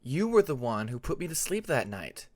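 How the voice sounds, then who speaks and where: Male narrator as young male dragon student, sincere, earnest, anxious, audiobook character, medium pitch, dry. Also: big pitch swings, moderate pace, dry recording.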